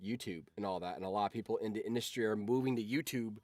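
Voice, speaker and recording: A man's voice: lowish voice, quick pace, darkish tone, no room sound. A man chatting casually on a livestream as a video editor, rambling along and swearing freely.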